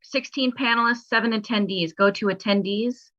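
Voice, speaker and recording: Bright woman guiding a call, dry audio. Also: fairly high pitch, normal speed, no room sound, muffled recording. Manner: patient, step-by-step. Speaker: meeting tech host. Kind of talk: giving instructions on a video call. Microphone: video call.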